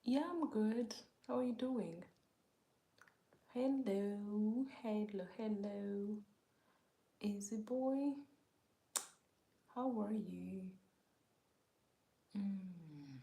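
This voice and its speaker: A woman from Uganda hosting a casual livestream, speaking softly and often near a whisper as she greets viewers by name and reads their comments. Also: medium pitch, extremely slow, pauses often, roomy sound. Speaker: livestream host.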